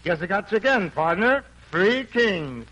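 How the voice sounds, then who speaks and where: An actor playing a sly, slick-talking folksy riverboat card gambler in a 1940s radio drama. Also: male voice, high voice, animated, measured pace, sharp tone, heavily acted. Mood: sly, confident. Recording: noisy old 1940s radio recording.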